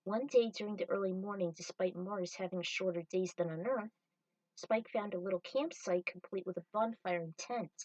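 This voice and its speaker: A woman narrates a fan-written story as its storyteller, at a medium pitch. She sits slightly away from the microphone, with little room sound around her. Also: moderate pace, darkish tone, no echo, far from the mic, low-quality recording.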